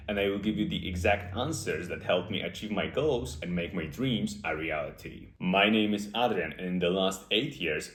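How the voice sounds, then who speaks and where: A young man with a Polish accent and a very deep voice speaks earnestly and off the cuff, pausing often on "uh". His voice rings in a roomy, echoey space. He sounds reflective as he reasons things through aloud. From Poland. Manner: earnest, reflective, with frequent "uh" fillers. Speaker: self-improvement YouTuber. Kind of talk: unscripted motivational monologue. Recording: echoey room.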